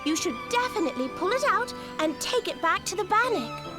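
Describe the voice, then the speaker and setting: An actress playing a blunt, playful young adventurer in a fantasy game, breezy, chatty and teasing. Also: female voice, very high-pitched, very animated, brisk. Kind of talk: scripted fantasy game voice acting. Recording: game audio with music underneath.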